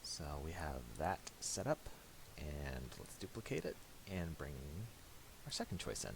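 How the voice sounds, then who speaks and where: A man talking through a software tutorial casually, thinking aloud in a hesitant, piecemeal way. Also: a bass voice, gravelly. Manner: hesitant, halting, piecemeal delivery. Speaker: tutorial presenter. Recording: steady hiss and clicking noise.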